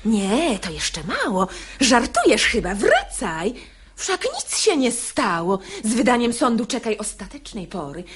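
An actress playing a shrewd married lady feigning reluctance in a radio verse comedy, coy and measured in theatrical delivery. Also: a little high, wildly swooping pitch, a little hiss or hum. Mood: mocking irony. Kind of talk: classical stage comedy radio acting in verse.

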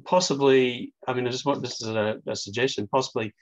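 An older man chairing an academic session by video call, putting questions in a halting, circling way. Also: mid-range voice, fairly even intonation. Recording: dull, lo-fi video call audio.

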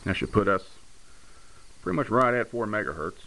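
A man's voice: lowish voice, lively intonation, normal speed, bright, forceful tone. A man giving a casual, hands-on technical walkthrough as a hobbyist, explaining things in a matter-of-fact way. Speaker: radio hobbyist demonstrating his conversion work. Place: indoor room at a home radio bench. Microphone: handheld camera's built-in mic. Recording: noisy amateur handheld camera audio. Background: clear background noise.

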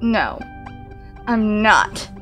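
Woman as slow, teasing anime villainess. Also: mid-range voice, sing-song, fairly bright tone, heavily acted. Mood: cocky. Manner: teasing, drawling, smug. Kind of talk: fan anime audio drama acting. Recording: music clearly behind the voice.

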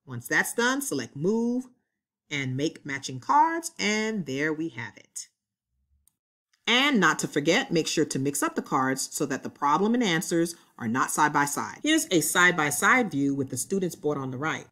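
Low-voiced woman giving a software tutorial. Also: very animated, unhurried. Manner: clear step-by-step instructions. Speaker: teacher presenting tutorials. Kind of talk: screen-recorded software tutorial. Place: voice-over on screen recording.

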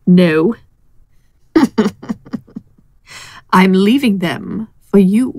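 Slow, sardonic woman lover played by an actress. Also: medium pitch, lively intonation, darkish tone, no echo. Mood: playful. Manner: teasing, pointed, deliberate. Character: sardonic, seductive female secret lover, protective and insistent. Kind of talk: audio drama acting.

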